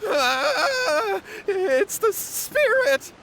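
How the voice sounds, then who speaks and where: A man's voice: extremely high pitch, sing-song, drawn-out words, bright, forceful tone, a little hiss or hum, full character voice. A man voicing a nervous, evasive young man in a video game, with an anxious, stammering manner. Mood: terrified.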